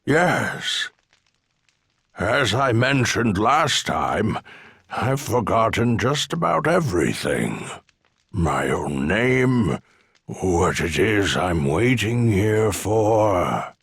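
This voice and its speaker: A gentle old island recluse in a game cutscene. His low voice is gravelly and swings expressively, with a courteous, wistful air. It is recorded close and dry. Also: very animated, extremely slow, dry recording, exaggerated voice. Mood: musing. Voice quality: gravelly, husky. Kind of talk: video game cutscene acting.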